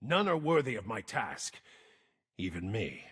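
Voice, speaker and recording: A very husky, gravelly game mage, weary and brooding. His grim, terse lines swing widely in pitch and ring with long reverb. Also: very animated, measured pace, a bit bright, full character voice. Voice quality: raspy, gravelly. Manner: terse, grim, theatrical delivery. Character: weary, gruff, brooding wandering mage guarding dangerous runes. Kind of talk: video game character voice lines.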